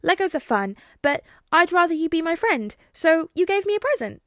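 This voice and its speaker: A woman voicing an excitable little boy who chatters eagerly and gushes. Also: moderate pace, no room sound, studio quality, heavily acted. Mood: excited. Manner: breathless, gushing, high-pitched.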